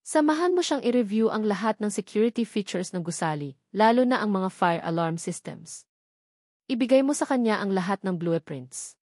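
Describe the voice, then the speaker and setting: A stern female boss from an office love story, read by a woman narrator. She is commanding and clipped, at a medium pitch. The sound is very dry. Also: lively intonation, moderate pace, a little mellow, no echo, close to an everyday voice. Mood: stern, demanding.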